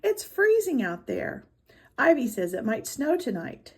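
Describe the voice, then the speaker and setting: A woman's voice: high-pitched, very animated, measured pace, a little mellow, husky, voice pushed up, heavily acted. Woman voicing a bossy, snappy eleven-year-old big sister. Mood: scornful, snappy. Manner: snappy, know-it-all.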